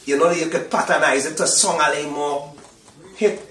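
A man's voice: on the high side, fairly even intonation, moderate pace, a bit bright. A man with a Trinidadian accent chatting casually as a singer in an interview, speaking emphatically, repeating himself and often checking that he is understood. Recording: roomy echo, distant from mic.

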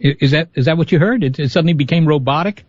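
A man's voice: fairly high pitch, very fast, darkish tone, no echo, muffled recording. A man being interviewed as a scientist on a call-in radio show, chatty, laughing often and restarting his sentences. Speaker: guest scientist.

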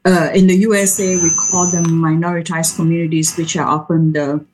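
A soft-spoken woman with a Cameroonian accent, an academic guest speaking in a remote radio interview. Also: low-pitched, level tone, normal speed, poor audio. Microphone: video call. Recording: remote call with faint noise.

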